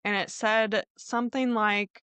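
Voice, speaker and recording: A woman's voice: mid-range voice, flat delivery, measured pace, fairly bright tone, clean tone, no room sound. A woman hosting a podcast, telling true crime stories in an informal, conversational way with a hedging manner. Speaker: podcast host.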